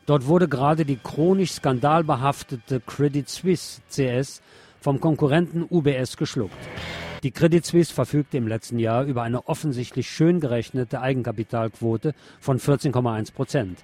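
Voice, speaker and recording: A man's voice: mid-range voice, normal speed, darkish tone. A man reads scripted political commentary as a radio presenter. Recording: music playing behind the voice. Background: music.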